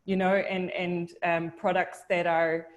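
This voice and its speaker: Young woman from New Zealand, video-call chat, thoughtful, halting, lots of restarts. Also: lowish voice, level tone. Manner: thoughtful, hesitant, many restarts and fillers. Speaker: discussion participant. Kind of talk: casual video-call discussion.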